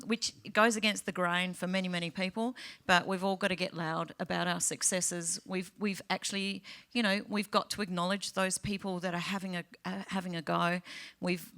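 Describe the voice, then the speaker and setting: Female panelist, lowish gravelly voice, earnest and emphatic. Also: fairly bright tone. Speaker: panel speaker.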